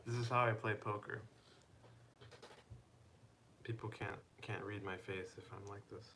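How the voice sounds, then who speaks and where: A man's voice: fairly low pitch, fairly even intonation, unhurried, pushed and bright, a little hiss or hum. A young man casually chatting on a live stream, playfully teasing and asking viewers questions.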